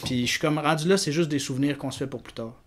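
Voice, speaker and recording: Fast-talking Canadian French man. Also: on the high side, slight background noise. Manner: talkative and self-mocking, peppered with "là, tu sais". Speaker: podcast guest, video producer. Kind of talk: casual conversational chat.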